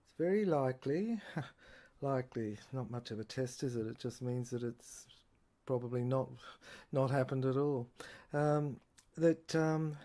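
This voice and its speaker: Woman acting a slow, low-voiced, rambling lecturing lab technician. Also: mellow. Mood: musing, halting. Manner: halting, digressive, repeating and correcting herself, with rhetorical questions. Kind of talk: improvised-sounding lecture monologue in an audio drama.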